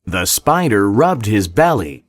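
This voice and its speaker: A man reads a children's folktale with careful expression. His delivery is very unhurried and swings widely in pitch, in a dry, close recording. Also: big pitch swings, no room sound. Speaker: storybook narrator. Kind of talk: scripted children's read-along narration.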